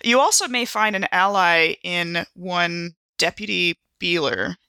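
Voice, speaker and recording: A woman's voice: on the low side, a little slow, bright, forceful tone, smooth, dry recording. A woman improvising in character as a player in a tabletop roleplay podcast, wry and wordy with hesitant turns. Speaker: player in an actual-play audio drama podcast.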